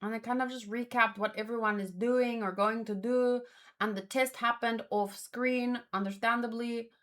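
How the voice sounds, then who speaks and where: A woman's voice: a little high, steady tone, moderate pace, a bit bright. A woman giving casual reaction commentary as a host, thinking aloud in a musing, hesitant way and often repeating words. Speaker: host of a manga read-along.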